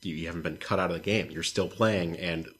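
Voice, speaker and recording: A man's voice: low voice, steady tone, a little fast, a bit bright. A man hosting a podcast, chatting casually with wry, mocking opinions and questions. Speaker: podcast host.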